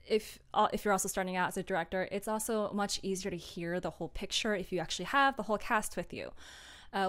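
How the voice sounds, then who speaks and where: A young woman hosts an informal livestream from home in a clean, smooth voice of medium pitch. She explains things in a casual, chatty way, with a giggly, self-deprecating streak. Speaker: audio drama creator and livestream host. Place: small home room, indoors.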